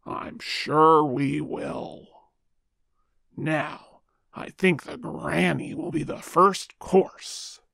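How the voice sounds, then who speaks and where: A woman voicing a sly, hungry male big bad wolf in a children's puppet show, gravelly and falsely polite. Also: sits low, a bit bright, dry recording, clean studio sound, voice pushed down, full character voice. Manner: slow, scheming, falsely polite.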